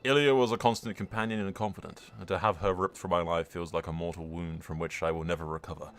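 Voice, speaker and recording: A man's voice: low-pitched, steady tone, fast talker, slight background noise, pitched below the performer's own voice, close to an everyday voice. A man reading the part of a grieving, devoted fantasy prince in solemn, literary first-person roleplay narration. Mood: solemn grief.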